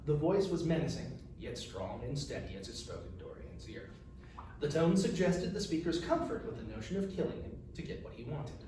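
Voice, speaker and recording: A man's voice: fairly high pitch, very animated, normal speed, warm tone. Older man, very echoey, reading aloud. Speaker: author. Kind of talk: author's reading of a fantasy novel, with an informal introduction. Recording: distant microphone, very echoey room, faint noise.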